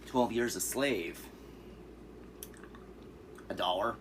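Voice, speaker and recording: A hoarse man showing off his thrift-store movie finds in a relaxed, rambling way, with fillers and asides. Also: lowish voice, slow pace, background noise. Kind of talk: casual vlog.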